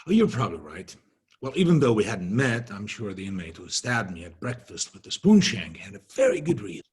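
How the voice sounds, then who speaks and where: Prisoner, male, acted by a man: dangerous, sardonic, very raspy, lively inflection, drama script. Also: fairly low pitch, fairly quick, heavily acted. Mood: sarcastic. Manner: sarcastic. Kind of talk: scripted drama table read.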